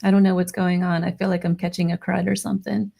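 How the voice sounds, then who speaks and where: A woman hosting a live paranormal radio show, hoarse and losing her voice, chatting excitedly with her listeners. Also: lowish voice, quick pace. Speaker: radio podcast host. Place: home studio near Las Vegas.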